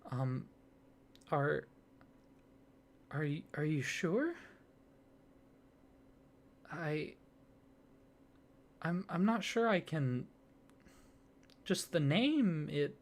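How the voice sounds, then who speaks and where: A man doing a soft-spoken ASMR roleplay, hesitant and flustered, with many fillers, restarts and awkward apologies. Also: on the high side, animated, extremely slow, long pauses.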